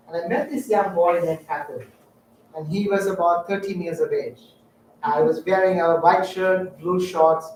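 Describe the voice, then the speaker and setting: A middle-aged man from Sri Lanka telling personal stories in a public talk, halting and reflective with frequent fillers. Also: a little high, a little hiss or hum. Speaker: guest speaker. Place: lecture room. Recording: echoey room, distant mic.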